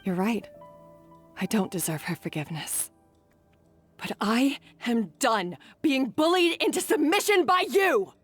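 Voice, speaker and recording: Bitter young chef girl in a game, guilty but defiant, talks slow, very dramatic, music under it. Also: on the high side, animated, slightly rough. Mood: anguished. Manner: emotional, dramatic, deliberate. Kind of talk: dramatic video game voice acting. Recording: game dialogue over background music.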